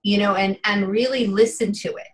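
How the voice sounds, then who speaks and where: A woman's voice: medium pitch, fairly even intonation, moderate pace. Earnest woman in her fifties, heartfelt. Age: 50s. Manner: earnest, heartfelt, many "you know" fillers. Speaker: interview guest. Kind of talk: casual interview chat.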